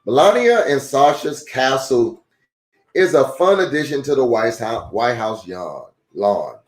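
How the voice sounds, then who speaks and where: A man reads a children's storybook aloud like a pastor at storytime, in halting, deliberate phrases with casual asides. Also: mid-range voice, big pitch swings. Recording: echoey, roomy sound.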